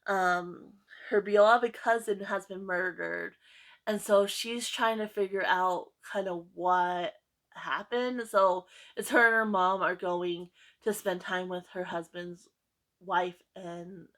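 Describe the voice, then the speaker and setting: A woman's voice: fairly low pitch, steady tone, takes it slowly, a bit bright. A woman vlogger chatting casually about books she read, enthusiastic, with lots of fillers and repeated words. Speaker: book vlogger. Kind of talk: casual book review chat.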